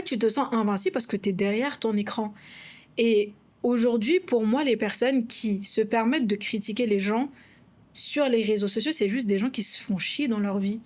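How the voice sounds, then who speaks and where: A woman's voice: fairly high pitch, a little mellow. A young woman from Madagascar with a Malagasy accent, co-hosting a casual podcast, speaking frankly and outspokenly in a conversational way. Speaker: podcast co-host, content creator.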